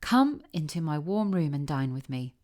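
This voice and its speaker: A woman's voice: low-pitched, sing-song, normal speed, darkish tone. A woman voicing a kindly, fussy old female field mouse in a storybook, chatty and full of advice. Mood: kindly welcoming. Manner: chatty, advising.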